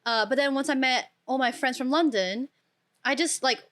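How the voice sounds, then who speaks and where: A woman's voice: high-pitched, moderate pace, a bit bright. A young woman with an international-school accent, chatting casually as a podcast guest with lots of filler words. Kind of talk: casual chat.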